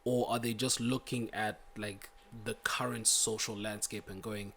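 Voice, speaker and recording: A man's voice: fairly low pitch, flat delivery, measured pace, gravelly, a bit bright. A man hosting a casual interview, asking probing questions in an informal, roundabout way. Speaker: interview host.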